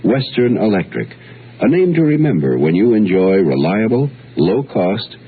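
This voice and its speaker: A deep-voiced American man announces a radio commercial in the old broadcast style. His delivery moves animatedly up and down as he reads the scripted copy. The sound is a narrow, tinny vintage radio recording. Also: big pitch swings, normal speed, slight background noise. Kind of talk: vintage radio advertisement narration.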